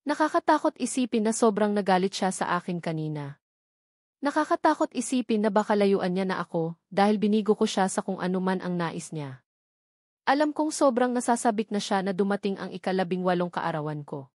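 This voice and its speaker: Female Filipino narrator for serialized romance audiobook, medium pitch, dry recording. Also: expressive, a little fast, a little mellow, no room sound. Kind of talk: dramatic scripted audiobook narration.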